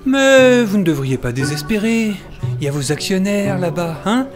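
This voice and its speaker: Actor as a hesitant, explaining team member, mid pitch, music bed. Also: lively intonation, moderate pace, close to an everyday voice. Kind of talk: amateur audio drama acting.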